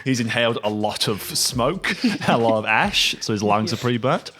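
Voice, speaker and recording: A man narrating an improvised tabletop game as game master, in a halting, jokey storytelling manner. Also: medium pitch, lively intonation, a bit bright.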